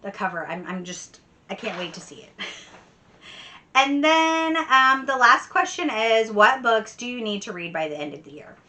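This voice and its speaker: A woman's voice: fairly high pitch, expressive, normal speed, bright, forceful tone. A woman book vlogger chatting casually and enthusiastically, with giggly, emphatic repetition. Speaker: book vlogger answering tag questions. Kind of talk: casual chat to camera.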